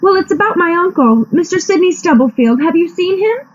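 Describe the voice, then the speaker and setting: A woman acts out a coy, worried lady client in a radio comedy, her voice pitched high. She is halting and pleading in her delivery, and the sound is a little muffled. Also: animated. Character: anxious, coy young damsel client in distress. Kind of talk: comic radio play acting.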